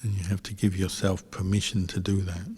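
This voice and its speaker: A man, a Buddhist monk, guiding a meditation calmly and without hurry, with a husky voice and long pauses between short phrases. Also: low voice. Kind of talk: guided meditation instruction. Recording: reverberant hall, long echo.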